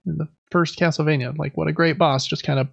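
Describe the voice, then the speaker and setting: A man with a slightly higher-pitched voice and a creaky edge chats casually as a podcast host. He rambles and backtracks mid-sentence. The sound is dry and somewhat dull. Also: steady tone, fast, no room sound. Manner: rambling, self-correcting. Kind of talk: casual chat about video and board games.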